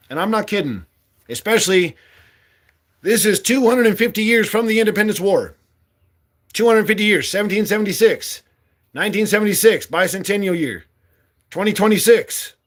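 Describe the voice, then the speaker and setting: A man in his late forties giving a blunt, impassioned monologue as a candidate, swearing freely and speaking with fire. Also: high-pitched. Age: 40s. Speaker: political candidate.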